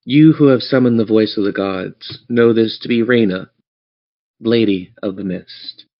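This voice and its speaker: A man running a tabletop game as game master, narrating in a theatrical, measured storytelling manner. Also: fairly low pitch. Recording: dull lo-fi audio.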